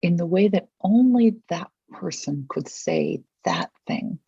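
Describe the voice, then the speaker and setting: A person leading a guided visualization, asking gentle, measured questions with long pauses between them. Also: mid-range voice, expressive, vocal fry, breathy edge, dry recording, lo-fi sound.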